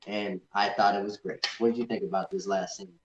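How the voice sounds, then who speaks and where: A man's voice: fairly low pitch, fairly even intonation, fairly quick. A man co-hosting a casual film review chat, speaking in an informal, enthusiastic way. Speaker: podcast host. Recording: echoey room, slightly dull sound.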